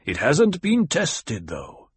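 A man voicing a stern, measured leader of a desert tribe, commanding and deliberate, in an audiobook. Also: on the high side, huge pitch swings, slightly rough, no room sound, pitched above the performer's own voice, exaggerated voice. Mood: solemn.

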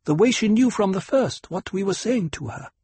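A fierce, protective desert nursemaid who speaks loud and scolding in a raised voice, as a man narrating the storybook does her. Her tone is husky, and the reading is close and dry. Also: high-pitched, lively intonation, moderate pace, dry recording, voice pushed up. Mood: proud, insistent. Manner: forceful and scolding.

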